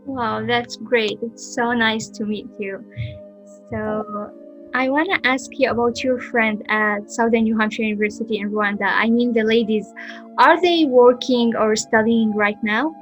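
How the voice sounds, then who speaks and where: A woman's voice: a little high, steady tone, unhurried, soft background music. Encouraging woman hosting a podcast. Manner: warm, encouraging interviewer. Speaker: podcast host. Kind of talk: casual interview.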